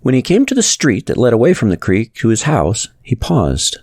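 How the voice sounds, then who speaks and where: A man's voice: mid-range voice, wildly swooping pitch, moderate pace, a little mellow, dry recording, clean studio sound. A man reading a novel aloud as a scripted audiobook narrator.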